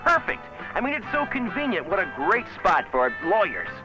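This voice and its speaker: An eager, fawning American property salesman in an old educational film. His fairly high voice leaps up and down as he gushes and overdramatizes. Music plays underneath. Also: big pitch swings, moderate pace, poor audio. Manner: gushing, agreeable, overdramatic.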